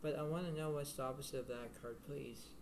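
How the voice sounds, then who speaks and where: A man giving a casual tarot reading to the viewer in a halting, repetitive, rambling way. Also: steady tone, a little mellow. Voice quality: low-volume voice. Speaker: tarot card reader. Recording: noisy homemade recording with room ambience.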